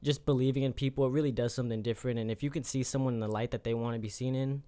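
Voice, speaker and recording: A man's voice: mid-range voice, steady tone, racing, dark tone. A man hosting a podcast, speaking in a casual, encouraging and motivational conversational style. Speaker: podcast host.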